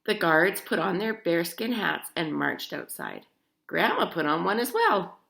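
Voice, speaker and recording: A woman's voice: on the low side, a little slow, creaky. A woman reading a children's picture book aloud expressively, talking to young listeners as she goes. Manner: animated, addresses child listeners with questions. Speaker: storybook reader.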